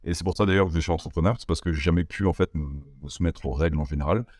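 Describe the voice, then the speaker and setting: Low-voiced young Frenchman, quick and hesitant. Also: darkish tone, no room sound, clean studio sound. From France. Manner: hesitant, many fillers and restarts. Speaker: interview guest, entrepreneur. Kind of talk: casual interview talk.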